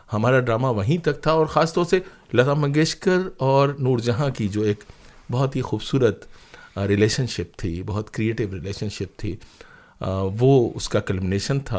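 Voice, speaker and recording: Low-pitched Indian man telling stories. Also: darkish tone. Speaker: interview guest, broadcaster and playwright. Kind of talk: conversational storytelling in an interview.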